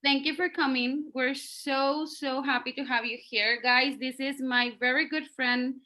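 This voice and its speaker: Bright-voiced, higher-pitched woman teacher. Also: steady tone, unhurried. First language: Spanish. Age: middle-aged. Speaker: teacher hosting a class interview. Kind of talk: informal online class introduction. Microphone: video call.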